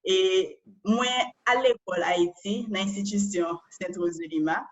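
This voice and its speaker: A woman's voice: mid-range voice, a little slow, lo-fi sound. A Haitian woman and data analyst giving an online presentation, explaining step by step and often ending phrases with a questioning tag.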